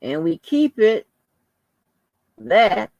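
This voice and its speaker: Soft-voiced woman chatting slowly on a livestream. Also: mid-range voice, no echo, dull audio. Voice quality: soft, calming voice. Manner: rambling, informal, addresses viewers as y'all. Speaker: livestream host. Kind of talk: casual livestream chat with viewers.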